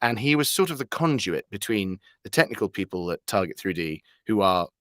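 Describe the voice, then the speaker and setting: A British man giving a conversational interview as a voice actor, telling anecdotes in an animated, halting way with self-corrections. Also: lowish voice, no room sound, clean studio sound.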